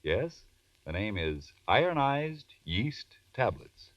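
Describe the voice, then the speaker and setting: A man announces a commercial in emphatic 1940s radio style. His lowish voice rises and falls with lively salesmanship, on a muffled, somewhat distant vintage recording. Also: slow pace, no echo. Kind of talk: 1940s radio advertising pitch.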